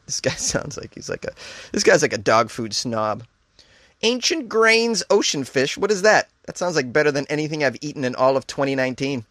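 A man's voice: on the high side, lively intonation, moderate pace, fairly bright tone, dry recording, studio quality. Jokey man riffing on odd news. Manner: jokey, laughs at his own jokes; mock-incredulous asides. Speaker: host. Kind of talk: comedic news reading and riffing.

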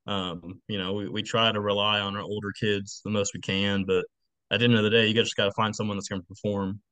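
Quick, low-voiced man in his twenties. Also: level tone, pushed and bright, clean tone, dry recording. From Texas, United States. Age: late 20s. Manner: plainspoken, informal. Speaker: podcast guest, football coach. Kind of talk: casual interview answers.

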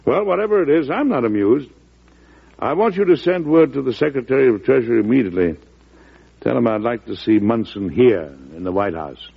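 An actor playing a weary, resolute middle-aged American president, speaking formally and deliberately in an old radio drama. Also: male voice, mid-range voice, expressive, gravelly, slightly rough. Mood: stern, resolute. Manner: deliberate, formal, dryly stern. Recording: muffled vintage radio recording.

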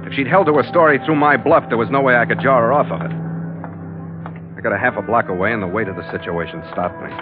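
Muffled hardboiled skipper played by an actor, music behind. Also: male voice, mid-range voice, quick pace, close to an everyday voice. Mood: grim. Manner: terse, wry, world-weary. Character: hardboiled, weary ship's skipper narrating his troubles. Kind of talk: old radio drama narration and dialogue. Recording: muffled vintage radio recording.

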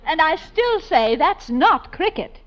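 Glamorous, coy female crook, 1940s radio comedy. High, unhurried delivery, dull vintage recording. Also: very animated, fairly bright tone, heavily acted. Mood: coy, seductive. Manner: theatrical, coy drawl.

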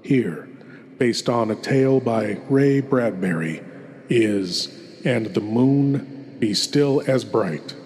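A man playing a theatrical horror host, eerie, lofty and intimate, with grandiose delivery and dramatic pauses. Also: mid-range voice, lively intonation, a little mellow. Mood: solemn brooding. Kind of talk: theatrical scripted horror introduction. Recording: long cavernous hall reverb.

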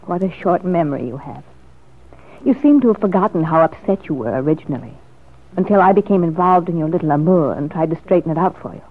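An actress playing a determined, sharp-tongued woman in a classic radio soap opera, with a haughty, sarcastic manner. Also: fairly low pitch, lively intonation, quick pace. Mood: cutting. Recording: muffled lo-fi vintage radio recording.

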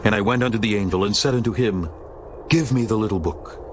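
A man narrating a dramatized scripture audiobook in a solemn, measured manner. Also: on the low side, slight background noise. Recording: music behind the narration.

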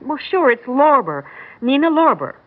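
A woman's voice: high-pitched, very animated, moderate pace. An actress playing a chatty, easily exasperated young New York woman in a radio drama, baffled and sarcastic in a conversational way. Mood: puzzled. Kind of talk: 1960s radio drama acting. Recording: muffled narrow-band old radio recording.

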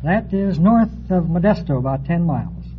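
A man's voice: on the high side, animated, normal speed. A man from California with an American accent, giving brief, plain answers as a radio quiz contestant. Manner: brief, matter-of-fact answers. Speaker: quiz show contestant, door-to-door salesman. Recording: tinny, faintly noisy old radio recording.

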